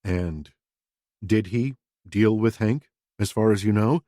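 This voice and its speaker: A man voicing a calm interviewer who asks flat, measured, terse questions. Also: medium pitch, no echo, clean studio sound, lightly acted. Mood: cool, probing.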